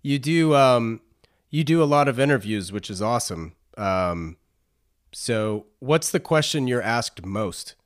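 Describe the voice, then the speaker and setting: A man's voice: medium pitch, animated, a little slow. A man hosting a podcast, speaking as a presenter and interviewer. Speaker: podcast host. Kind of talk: scripted narration and interview.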